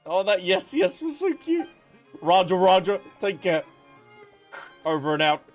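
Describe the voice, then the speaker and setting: High-voiced man streaming a game. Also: sing-song, measured pace. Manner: playful, chatty, reacting aloud. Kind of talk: casual live gameplay commentary. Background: game music.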